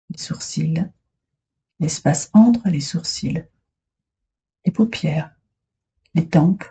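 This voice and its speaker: A woman guiding a relaxation meditation as a yoga instructor, soothing and unhurried, speaking in short phrases with long pauses. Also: low voice, mellow, breathy edge, muffled recording.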